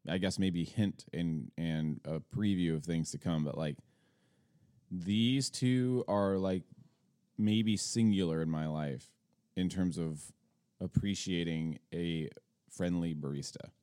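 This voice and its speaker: A man hosting a podcast in a casual morning chat, groggy and halting, laughing often and restarting his phrases. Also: low voice, mellow, no room sound, studio quality. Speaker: podcast host.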